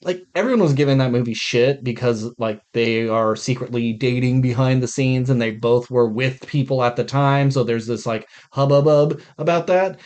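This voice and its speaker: A man's voice: mid-range voice, moderate pace, hardly any pauses, a little mellow. A man co-hosting a podcast, chatting informally about movies and swearing now and then. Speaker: podcast co-host. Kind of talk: casual chat about movies.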